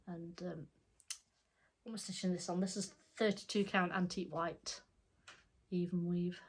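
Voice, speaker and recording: A woman's voice: fairly low pitch, flat delivery, moderate pace. A middle-aged woman with a stuffed-up voice, chatting casually as she shows her cross stitch projects, rambling and correcting herself. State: stuffed up, congested. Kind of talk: casual show-and-tell chat.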